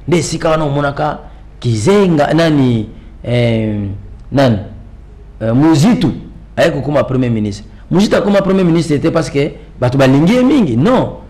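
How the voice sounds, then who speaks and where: A man's voice: on the high side, a little mellow. A middle-aged man with a Congolese accent in a televised political discussion, speaking emphatically and repeating phrases for stress. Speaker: political commentator guest. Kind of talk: televised political discussion in mixed Lingala and French.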